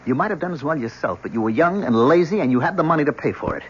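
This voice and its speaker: A man's voice: fairly high pitch, lively intonation, breathy edge. An actor playing a self-assured, needling male blackmailer in a 1970s radio mystery play. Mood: smug, taunting. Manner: needling, deliberate. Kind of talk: 1970s radio drama acting. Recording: muffled, hissy old radio recording.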